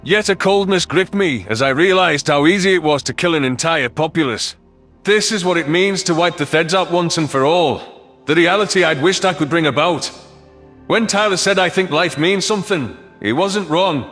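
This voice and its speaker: A man's voice: fairly high pitch, moderate pace, a bit bright. A man reading scripted, dramatic first-person science fiction narration as an audiobook narrator. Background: background music.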